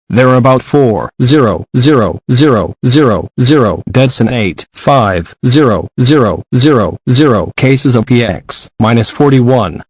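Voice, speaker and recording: A man's voice reading an official emergency broadcast in a steady announcement style. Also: mid-range voice, no room sound, rough recording.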